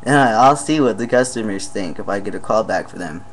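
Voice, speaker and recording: A young man with a deep voice, talking casually in a vlog, rambling with many fillers and trailing off into quiet asides. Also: animated, fairly quick, a little hiss or hum. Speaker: vlogger.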